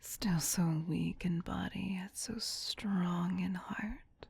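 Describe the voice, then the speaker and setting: A woman performs a possessive, doting vampire queen for an ASMR roleplay. She speaks in a very breathy, hushed whisper with a slow, lingering pace. Her coaxing endearments are soft and tender. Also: fairly low pitch, steady tone, heavily acted. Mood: tender, protective.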